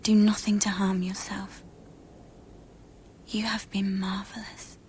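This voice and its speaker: Actress as breathy, whispering sorrowful woman. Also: mid-range voice, level tone, slow, slight background noise, close to an everyday voice. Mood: sorrowful, loving. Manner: intimate, gently pleading. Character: sorrowful, devoted woman lover, gentle and insistent. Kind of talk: radio play acting.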